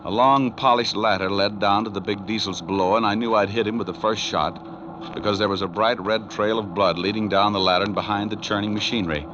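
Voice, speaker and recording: An actor playing a tough, wisecracking private detective in a 1940s radio drama, with an American accent and a wry, clipped delivery. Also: male voice, fairly low pitch, fairly even intonation, a little fast, fairly bright tone, lightly acted. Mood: hard-boiled, wry. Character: tough wisecracking male private detective narrating his case. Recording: muffled old 1940s radio recording, music behind.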